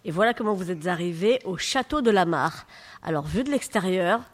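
A woman co-hosting a radio discussion, asking questions with lively, joking interjections. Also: on the low side, normal speed. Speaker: radio co-host, magazine editor.

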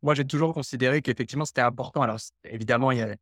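A man talks in a podcast interview, stopping often to restart his sentences. His voice sits at an ordinary pitch. The recording is dry and close. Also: rapid-fire, fairly bright tone, no room sound. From Canada (Quebec). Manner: hesitant, many fillers and restarts. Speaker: guest, development researcher.

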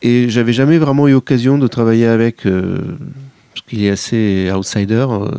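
A man's voice: mid-range voice, fairly even intonation, normal speed, creaky, dark tone, clear, resonant tone, dry recording, studio quality. A man whose first language is Italian, a musician guest in a radio interview, talking casually with many restarts and fillers.

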